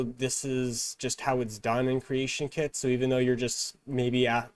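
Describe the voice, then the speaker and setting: A man presenting a step-by-step software tutorial in an instructional, measured manner. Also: mid-range voice, no room sound.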